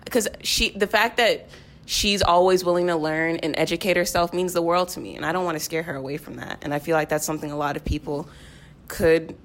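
A woman's voice: lowish voice, a little fast. A young woman talking casually and candidly as a podcast guest, earnest about her personal feelings. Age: teens to young adult. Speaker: podcast guest, cast member of a play. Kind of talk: casual, personal group discussion.

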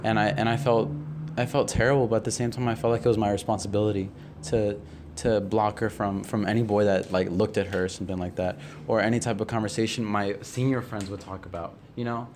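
A young man in a reflective group conversation, speaking earnestly and haltingly, often repeating phrases as he works out his thoughts. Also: lowish voice, steady tone, a little mellow. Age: young adult. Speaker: podcast guest, play cast member. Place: indoor room, group podcast recording. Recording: faint noise, room ambience.

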